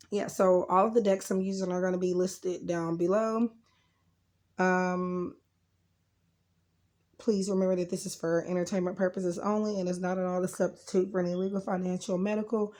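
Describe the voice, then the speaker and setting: A woman hosting a casual, unscripted tarot card reading in a rambling, informal way, her voice a little rough from being sick. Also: medium pitch, darkish tone. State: getting over sickness, slightly rough voice. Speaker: tarot card reader and channel host.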